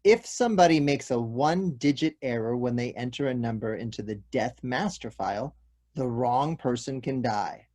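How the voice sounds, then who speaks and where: Guy playing a polite police detective in a play reading, measured and sorry to bother you. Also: medium pitch, darkish tone, lightly acted. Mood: apologetic, explanatory. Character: polite, apologetic male police detective explaining bad news. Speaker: actor in a virtual table read. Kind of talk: stage comedy-drama table read.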